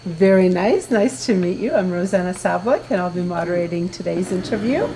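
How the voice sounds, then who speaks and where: A woman moderating an interview, asking slow, deliberate, encouraging questions. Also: fairly low pitch, dark tone, a little hiss or hum, rough recording.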